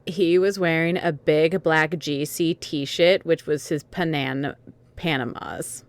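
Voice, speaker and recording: Low-pitched woman reading aloud. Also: steady tone, slow pace, clear, resonant tone. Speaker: narrator in a group dramatic reading. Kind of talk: dramatic reading of fan fiction with amused commentary.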